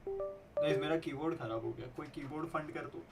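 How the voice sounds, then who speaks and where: A man's voice: a little high, very fast, music in the background. A man with an Indian accent hosting a livestream watch party, chatting casually and switching between Hindi and English. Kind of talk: casual live stream chat, mixing Hindi and English.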